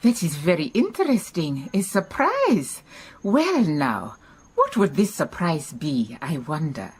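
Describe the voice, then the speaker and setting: An actress playing a wise, composed lady detective in a radio drama, with a measured, inquisitive manner. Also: deep voice, sing-song, husky, full character voice. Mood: curious.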